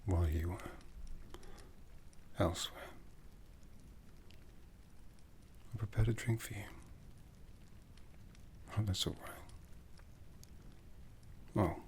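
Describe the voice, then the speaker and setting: A man playing an ancient, jaded, aristocratic vampire in a breathy, half-whispered ASMR roleplay, haughty and seductive with long deliberate pauses. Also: low-pitched, darkish tone, a little hiss or hum. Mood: silky, commanding. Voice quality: breathy, often dropping into whisper.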